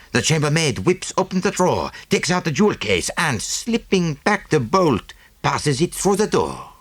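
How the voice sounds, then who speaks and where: A man's voice: medium pitch, fairly bright tone, slightly rough, dry recording, exaggerated voice. A man voicing a small, self-satisfied Belgian detective with a French-speaking Belgian accent and a didactic, theatrical manner in an audiobook. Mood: relishing explanation. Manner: didactic, punctuated with "eh?" and French phrases.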